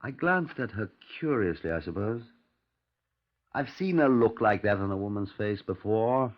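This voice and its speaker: An actor playing a stern, disdainful police detective, narrating a 1950s radio crime drama in a dry, clipped, musing manner. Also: male voice, fairly low pitch. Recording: muffled, lo-fi 1950s radio recording.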